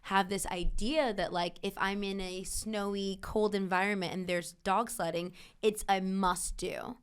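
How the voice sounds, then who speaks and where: Woman podcast co-host, clear smooth voice, animated and laughing. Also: mid-range voice, a little slow. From New York. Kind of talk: casual chat.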